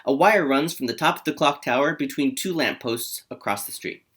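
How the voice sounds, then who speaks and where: A man's voice: medium pitch, fairly quick, fairly bright tone. A man hosting a table read and narrating the screenplay's stage directions.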